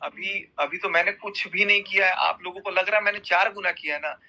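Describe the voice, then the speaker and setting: A man's voice: high-pitched, fairly quick, bright, forceful tone. A man in a heated personal argument on a recorded group phone call, insistent and repeating himself.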